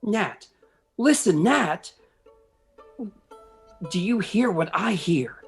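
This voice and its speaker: An anxious young sailor, the plain-spoken mate in a radio play, acted by a man. His voice is husky and rises and falls a lot, in a slow, hesitant, folksy delivery. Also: high voice, animated, soft background music, full character voice. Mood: uneasy.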